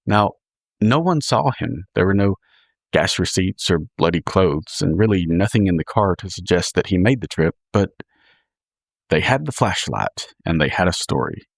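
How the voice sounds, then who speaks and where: A man reading scripted true crime narration in a measured, dramatic storytelling manner, as a podcast host. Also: sits low, animated, gravelly, no room sound, studio quality.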